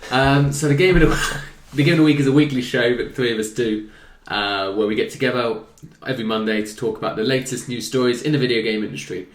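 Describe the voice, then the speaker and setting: A man's voice: medium pitch, fast, hardly any pauses. A hungover man in his twenties hosting a casual gaming news chat, jokey and teasing with his co-hosts. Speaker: host. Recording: roomy echo.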